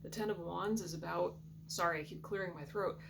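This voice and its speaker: A woman giving a soft, reflective intuitive tarot reading in a groggy morning voice, often clearing her throat. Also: fairly low pitch, fairly quick. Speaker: tarot reader. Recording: steady background hum.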